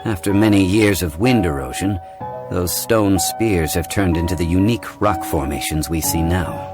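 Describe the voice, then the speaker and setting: An actor voicing a calm, learned gentleman in a video game, speaking in a measured, formal, explanatory way. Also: sits low, music in the background, natural voice. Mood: calm, lecturing.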